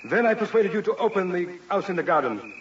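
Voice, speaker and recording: A vengeful French nobleman posing as an inspector in a vintage radio drama. His delivery is formal and theatrical, with a highish voice that swings widely. The recording is distant and echoey. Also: big pitch swings, a little fast, highs cut off, slight background noise. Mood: gloating. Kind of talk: old radio melodrama acting.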